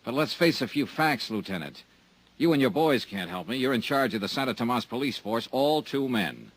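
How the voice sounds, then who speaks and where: A man's voice: medium pitch, expressive, normal speed, lightly acted, rough recording. An actor playing a tough, wisecracking insurance investigator in a 1950s radio drama, dry and wry in his talk. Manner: dry, wisecracking first-person narration.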